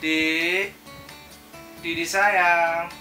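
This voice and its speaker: A man's voice: fairly high pitch, level tone, drawn-out words, sharp tone, roomy sound, soft background music, voice pushed up, heavily acted. A man voicing a bewildered young newlywed husband, calling out plaintively for his wife.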